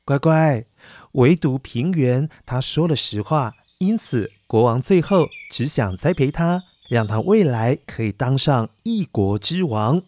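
A man's voice: mid-range voice, lively intonation, unhurried, darkish tone, no room sound. A man hosting and narrating a children's story, addressing young listeners in a coaxing, explanatory way. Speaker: children's story podcast host.

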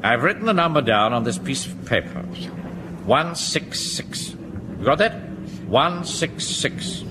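Pompous English captain, swooping pitch. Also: male voice, sing-song, a little slow. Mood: briskly instructing. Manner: pompous, commanding, clipped. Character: pompous, exasperated middle-aged male Home Guard captain. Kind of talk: British sitcom acting. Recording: noisy old radio comedy recording.

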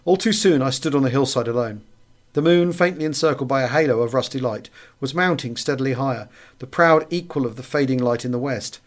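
Male audiobook narrator, mid-pitched voice, for literary first-person wartime memoir. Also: brisk.

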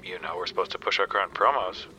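A by-the-book male supervisor with a very bright, cutting voice. He answers in clipped, grudging phrases, with loud noise behind him, as in an audio comedy drama. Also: sits low, fast talker, rough recording. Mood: reluctant. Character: reluctant, rule-bound male call centre supervisor. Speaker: call centre supervisor.

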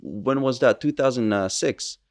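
Energetic Israeli man in his late twenties. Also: mid-range voice. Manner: energetic, fast storytelling with frequent fillers. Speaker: guest, social media activist. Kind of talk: conversational interview.

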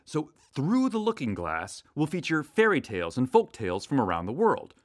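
A man works as a podcast co-host, sharing show news in a conversational style. His middle-register voice rises and falls with lively intonation. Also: mid-range voice, normal speed. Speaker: co-host of an audio theater podcast.

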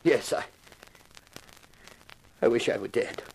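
An actor playing a desperate, anguished man confessing his wrongdoing in a halting, melodramatic 1950s radio crime drama style. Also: a little mellow, natural voice, poor audio. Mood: desperate, broken.

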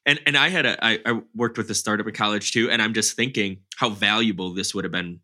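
Male podcast co-host, eager and upbeat, very bright edgy tone. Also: on the low side, fast talker. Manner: enthusiastic, upbeat. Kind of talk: podcast interview.

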